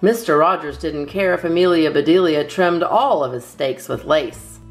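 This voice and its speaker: A woman narrating a children's storybook as a storytime host, in an expressive read-aloud style, over music. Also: low-pitched, normal speed. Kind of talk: animated children's storybook reading. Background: soft plucked guitar music.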